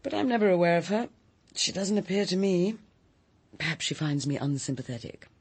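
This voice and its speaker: Unsentimental character, British, lightish pitch, husky, measured, dry, radio drama. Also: male voice, expressive, exaggerated voice. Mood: reflective.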